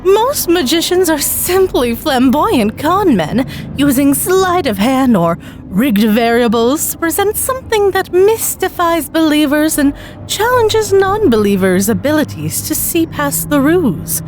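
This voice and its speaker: A woman voicing a sly male stage magician in a story, with theatrical, formal, drawn-out patter and menacing politeness. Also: high-pitched, very animated, slight background noise, voice pushed up. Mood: smooth, menacing. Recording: music bed behind the voice.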